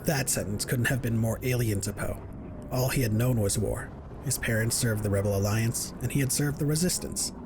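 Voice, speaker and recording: A man's voice: mid-range voice, normal speed, noisy background. A man reading scripted narration for a science fiction audio drama. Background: music.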